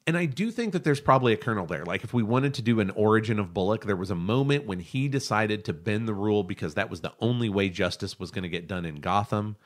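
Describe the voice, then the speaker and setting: A man with a fairly low voice that swings widely in pitch as he talks. He sounds like a podcast host deep in analysis, stating opinions with emphasis. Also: lively intonation, fast, hardly any pauses. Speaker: podcast host discussing animation. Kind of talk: animated analytical discussion.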